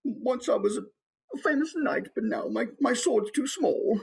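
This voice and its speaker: A man's voice: extremely high pitch, moderate pace, vocal fry, breathy edge, voice pushed up, full character voice. A man voicing a sad, wistful fallen king and knight, a cartoon character. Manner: mournful, wistful. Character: sad old fallen king with few worshippers.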